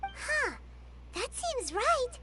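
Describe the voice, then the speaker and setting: Super high squeaky fairy kid from a game, bouncy and curious, slow careful talk. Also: female voice, sing-song, exaggerated voice. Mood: puzzled. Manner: chirpy, wide-eyed exclamations. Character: tiny girlish fairy companion, excitable and curious. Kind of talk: video game dialogue.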